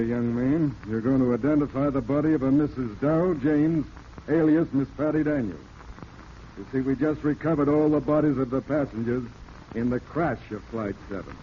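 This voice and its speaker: An actor playing a shrewd, sardonic police detective who probes calmly and wryly, in 1940s radio drama style. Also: male voice, mid-range voice, close to an everyday voice. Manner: wry, leading questions. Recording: muffled, hissy old radio recording.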